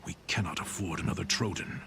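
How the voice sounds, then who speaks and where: A man playing a grim, resolute mercenary lieutenant in a video game, stern, grave and measured. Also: low voice, vocal fry, whispered. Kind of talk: dramatic video game acting.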